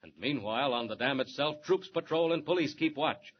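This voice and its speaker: A man's voice: fairly high pitch, expressive, brisk. A man narrating a 1940s radio serial with urgent, dramatic delivery. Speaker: announcer and narrator. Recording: muffled old radio recording with hiss.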